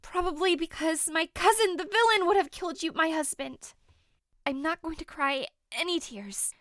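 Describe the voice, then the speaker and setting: Woman doing a distraught young girl in a shakespeare audio play, super high bright voice, really dramatic. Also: moderate pace, no echo, studio quality. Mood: indignant, remorseful, then grieving. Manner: theatrical, impassioned declaiming. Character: passionate teenage girl, newlywed noblewoman, desperate and grieving.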